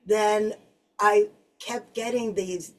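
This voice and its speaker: A woman in her fifties hosts a podcast interview in an upbeat, enthusiastic way. Her voice sits in a middle pitch and sounds a little distant from the mic. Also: fairly even intonation, takes it slowly. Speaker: podcast host. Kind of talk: casual interview chat.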